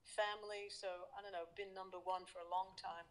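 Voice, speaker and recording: A middle-aged woman talking on a video call from her home studio, lively and chatty, telling stories with quick laughs. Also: medium pitch, slight background noise. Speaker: guest, guitar professor. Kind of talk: casual video-call interview. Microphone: webcam on video call.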